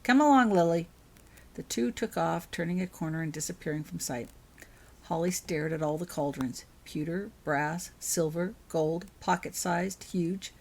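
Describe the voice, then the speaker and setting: A woman narrates a storybook tale. Her voice sits on the low side and has a noticeably husky, breathy grain. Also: steady tone, a little slow, a little mellow. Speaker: author reading own fan fiction aloud.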